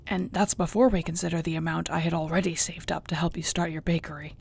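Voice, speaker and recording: A woman playing an affectionate orc warrior girlfriend in an intimate audio roleplay, speaking softly and tenderly with a husky voice. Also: fairly low pitch, fairly even intonation. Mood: warm, hopeful. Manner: soft, tender, unhurried, often near a whisper.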